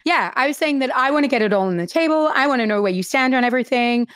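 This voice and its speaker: A woman hosting a casual interview, asking playful questions and quickly chiming in with agreement. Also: sits high, animated, fairly bright tone, clean tone, no echo, studio quality. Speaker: podcast host.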